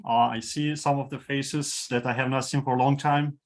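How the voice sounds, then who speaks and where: A man whose first language is Turkish, hosting an online talk on a video call and speaking haltingly with many fillers and restarts. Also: medium pitch, level tone. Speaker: host, institute president.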